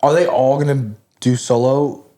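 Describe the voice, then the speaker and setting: A man's voice: mid-range voice, flat delivery, normal speed, dark tone. A young man talks easily on a podcast, his voice sitting in a middle register. He rambles in a loose, laid-back way, leaning on filler words as he chats. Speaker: podcast co-host. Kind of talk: casual chat.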